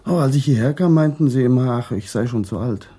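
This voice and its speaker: A middle-aged actor playing a calm, reflective traffic policeman under questioning in a German radio crime drama, speaking in a measured, guarded way. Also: mid-range voice, slightly rough, lightly acted.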